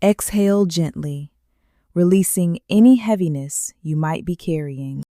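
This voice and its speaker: A woman leading a guided meditation in a calm, gentle way, with slow soothing instructions and long pauses. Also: lowish voice, no room sound, clean studio sound.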